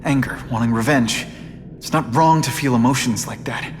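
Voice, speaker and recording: An actor playing an earnest, reflective young superhero in a video game cutscene. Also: male voice, mid-range voice, normal speed, very noisy, close to an everyday voice. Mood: firm. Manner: sincere, reflective. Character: earnest young male superhero, grieving but principled.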